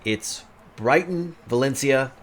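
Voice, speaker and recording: A man's voice: mid-range voice, expressive, slow pace, pitched above the performer's own voice. A fussy young man in a fantasy audio drama, acted by a male narrator. He is inquisitive and easily slighted, talking in a hesitant, pedantic way over faint background noise. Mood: peevish.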